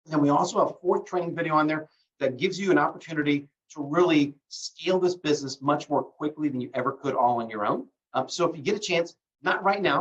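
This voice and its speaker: A man hosting a live call, chatty with lots of fillers, getting over bronchitis. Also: mid-range voice. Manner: relaxed, many fillers, promotional. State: recovering from bronchitis. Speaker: host. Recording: distant muffled lo-fi call audio.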